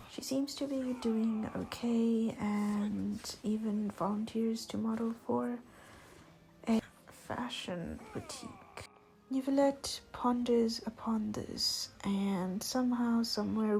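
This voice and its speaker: A woman's voice: a little high, vocal fry, darkish tone, slight background noise, poor audio. A woman narrating a casual recap of a video game story.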